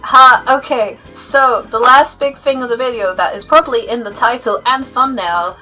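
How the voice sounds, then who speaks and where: A woman's voice: on the high side, normal speed, bright, forceful tone, soft background music, rough recording. A woman chatting casually and unscripted as a channel host, rambling, hesitant and self-deprecating. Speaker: YouTube channel host giving updates.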